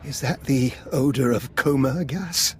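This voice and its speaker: A man's voice: mid-range voice, a little slow, a little hiss or hum, natural voice. A man playing a curious, refined companion character in a video game, with a wry manner. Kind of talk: video game dramatic acting.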